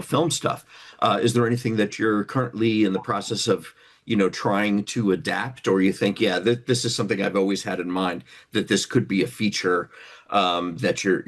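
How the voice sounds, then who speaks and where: A man hosting a casual interview in an informal, affirming way. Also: low voice. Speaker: interview host.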